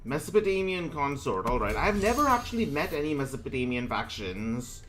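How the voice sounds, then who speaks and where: A man's voice: mid-range voice, sing-song, a little fast, a bit bright, slight background noise. A man reading aloud and commenting on gameplay casually, often stumbling over words and names and correcting himself. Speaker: gaming streamer reading a myth aloud.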